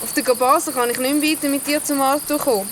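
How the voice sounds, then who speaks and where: A woman's voice: high-pitched, steady tone, fast talker, noisy background, natural voice. A woman voicing a brave, wary fugitive creature in a children's radio play, with a Swiss German accent. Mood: cautious, explaining.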